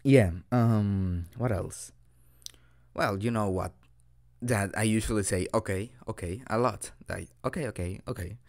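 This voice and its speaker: A man's voice: fairly low pitch, unhurried, gravelly, a little mellow. A young man from Mexico with a Mexican accent, a native Spanish speaker, improvising a dorky, hesitant roleplay as a boyfriend giving a casual language lesson. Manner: giggly, dorky, hesitant, self-deprecating. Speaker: audio roleplay creator playing a boyfriend teaching Spanish.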